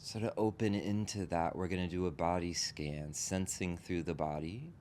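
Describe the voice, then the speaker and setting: Low male voice, meditation teacher, gentle spaced phrases, guided practice, faint rumbling background noise. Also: moderate pace. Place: group meditation session in a room.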